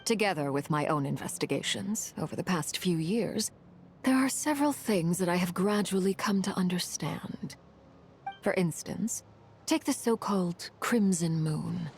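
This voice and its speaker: A low, composed fire-wielding commander. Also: female voice, lively intonation, a little mellow, a little hiss or hum. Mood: solemn, reflective. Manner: measured, formal, solemn. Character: composed, commanding woman harbinger with cursed blood fire. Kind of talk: dramatic video game voice acting.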